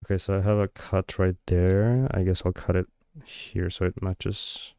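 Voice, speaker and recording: Low, flat-voiced man musing. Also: unhurried, clean tone, no echo. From Georgia. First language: Georgian. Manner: hesitant, many fillers and trailing thoughts. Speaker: streamer. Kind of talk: thinking aloud while working on a live stream.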